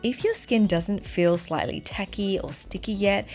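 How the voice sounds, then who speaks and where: A woman in her thirties narrating a makeup tutorial as the host, explaining each step clearly. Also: medium pitch, a little slow, a little mellow, soft background music. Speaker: beauty vlogger host.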